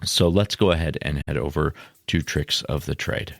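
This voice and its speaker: A man hosting a podcast, giving scripted explanations in a measured, instructional way. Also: extremely low pitch, vocal fry, slight background noise. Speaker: podcast host.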